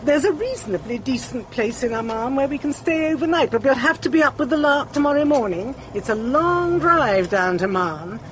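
A woman's voice: high voice, animated, a little hiss or hum, poor audio. An actress playing a bossy, self-important middle-aged lady politician with an upper-class English accent in a radio drama. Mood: bossy, authoritative. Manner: pompous, brisk, commanding.

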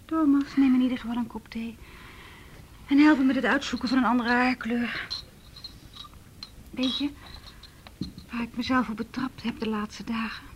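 A woman's voice: a little high, fairly even intonation, normal speed, long pauses, a little hiss or hum, natural voice. An actress playing an anxious, defensive young married woman in a naturalistic radio drama. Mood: tense, brooding.

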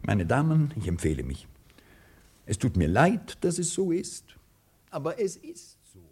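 Male civil servant, husky, lively intonation, formal, polite, insistent, radio play delivery. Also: on the high side, unhurried, full character voice. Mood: regretful, stiff. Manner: formal, officious, earnest. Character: polite, flustered but insistent male government official. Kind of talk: German radio play acting.

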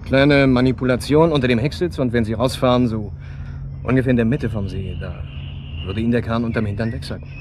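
An actor playing a guarded, shady man in a naturalistic radio drama, colloquial, matter-of-fact and quietly insinuating. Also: medium pitch, a little fast, background noise, lightly acted. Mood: cautious, confiding.

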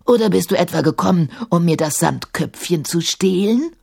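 A woman's voice: on the low side, lively intonation, normal speed, gravelly, a little mellow, breathy edge, exaggerated voice. A woman voicing a huge, cruel male djinn in a storybook reading, bellowing, growling and mocking. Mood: menacing, mocking.

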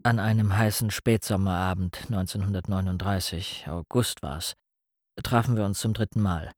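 A man narrating a radio play in the first person, in a measured, reflective way. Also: low-pitched, fairly even intonation, dry recording, studio quality.

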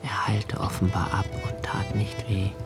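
An actor playing an anxious, observant young poet narrating a radio play in a slow, hushed, intimate way, sometimes sinking to a near whisper. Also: male voice, low voice, little pitch movement, loud music behind the voice. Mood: brooding. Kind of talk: literary radio drama reading.

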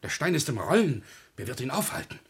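An actor playing a grave, formal legal counsellor and anxious family go-between in a classic German radio drama, measured and solemn. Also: male voice, mid-range voice, very animated, a little hiss or hum. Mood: grave, urgent.

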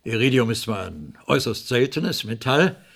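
An actor playing a guarded, condescending male client in a German radio play, dry and skeptical, with a creaky voice. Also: mid-range voice, expressive, normal speed, fairly bright tone. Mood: skeptical, curious.

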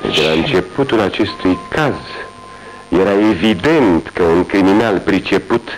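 An actor playing a brilliant, methodical detective who calmly explains his deductions in a radio drama. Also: sits low, faint music behind, rough recording. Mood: explaining. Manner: measured, explaining deductions step by step. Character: brilliant methodical male detective, calm and wry. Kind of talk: 1980s radio drama acting.

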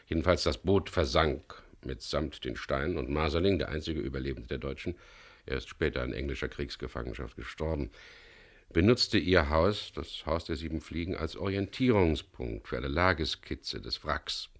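An actor playing a composed, courteous leader of a risky venture in a radio play, explaining things in a measured way. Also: male voice, very deep voice. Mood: measured, explanatory. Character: composed man, leader of a diamond salvage venture. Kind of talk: 1970s German radio play acting.

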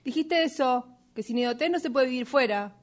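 A woman's voice: high-pitched, brisk, natural voice. A woman acting in radio theatre as an exasperated, reluctant young woman with a Rioplatense Argentine accent, hesitant and repeating herself dramatically. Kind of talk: radio theatre workshop fiction.

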